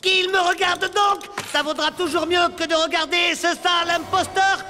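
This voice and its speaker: Shrill, vain cartoon evil genius. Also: male voice, fairly even intonation, moderate pace, sharp tone, faint music behind, exaggerated voice. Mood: indignant ranting. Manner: boastful, ranting. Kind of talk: French cartoon dub acting.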